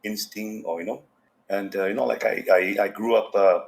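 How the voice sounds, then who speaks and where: Very deep-voiced man from Fiji. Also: steady tone, normal speed, vocal fry. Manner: rambling, many fillers like "you know". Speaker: podcast guest. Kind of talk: casual interview talk.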